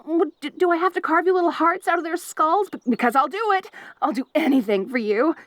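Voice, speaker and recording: A woman's voice: sits high, brisk, dry recording, close to an everyday voice. A woman voicing a clingy, obsessive young wolf girl in an audio roleplay, giggling and stammering as she rambles eagerly.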